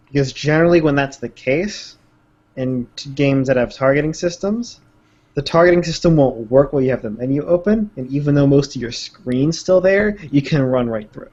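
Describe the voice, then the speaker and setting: A man's voice: medium pitch, darkish tone, highs cut off. A man on a remote call in a podcast, explaining a game trick in a methodical, casual way. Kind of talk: casual gaming chat.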